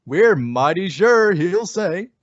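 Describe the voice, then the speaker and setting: A man's voice: high-pitched, animated, slow, no echo. A man playing a folksy, persuasive announcer reading an old-time radio advertisement. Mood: cheerfully persuasive. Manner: folksy, salesmanlike. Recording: muffled lo-fi recording.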